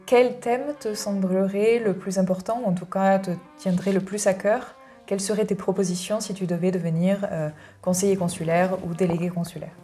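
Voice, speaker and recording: Mellow-voiced woman interviewer. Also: mid-range voice, fairly even intonation, normal speed, hardly any pauses, soft background music. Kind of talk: prepared interview questions.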